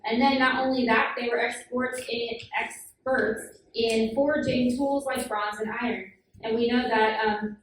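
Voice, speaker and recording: A woman leads a children's Bible class, patiently quizzing the kids and filling gaps with "um". Her voice sits slightly high. She is heard from far off in a heavily echoing room with clear background noise. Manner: patient, questioning, frequent "um" fillers. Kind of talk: classroom teaching with questions to children. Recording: very echoey room, far from the mic, noisy.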